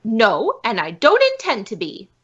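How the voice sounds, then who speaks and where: A woman's voice: on the high side, wildly swooping pitch, a little slow, a bit bright, husky, highs cut off, full character voice. A woman playing a bitter, indignant forger, a failed actress who poses as an old lady, in a radio police drama. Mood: indignant, defiant.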